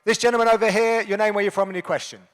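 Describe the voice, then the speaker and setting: A man moderating a fan Q&A, briskly directing the line of questioners. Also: high voice, steady tone, a bit bright. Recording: distant in echoey hall.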